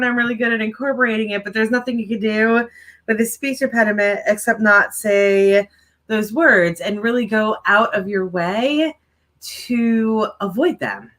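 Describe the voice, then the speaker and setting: A woman's voice: fairly high pitch, normal speed, a bit bright. A woman with a mild stutter, chatting casually to viewers as the co-host of a writing livestream, with many fillers.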